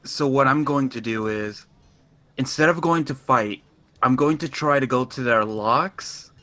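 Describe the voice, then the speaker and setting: A man with a bright, tense-edged voice talks casually as a player in a tabletop game. The sound is close and dry, with no room around it. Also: mid-range voice, measured pace, no room sound.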